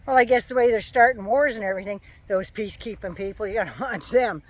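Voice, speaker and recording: A woman giving a sarcastic, profane political rant as a vlogger, her voice going hoarse. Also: medium pitch, fast talker. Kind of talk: casual ranting monologue.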